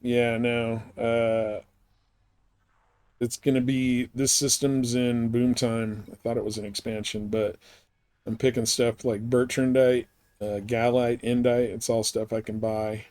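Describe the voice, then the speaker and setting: A man's voice: mid-range voice, little pitch movement, unhurried, a little mellow. A man giving casual, rambling gameplay commentary as a live streamer, explaining things as he goes.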